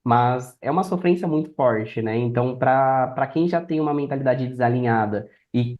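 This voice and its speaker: A man's voice: medium pitch, moderate pace, phone-quality sound. A Brazilian man guesting on a podcast, casually sharing personal stories and advice, repeating phrases for emphasis.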